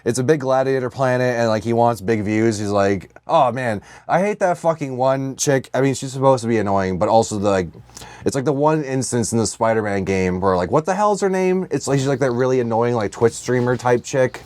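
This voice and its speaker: A man's voice: medium pitch, fairly quick, darkish tone, no room sound. A man co-hosting a podcast in casual comedic chat, rambling along in slangy, profanity-laced talk. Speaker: podcast co-host.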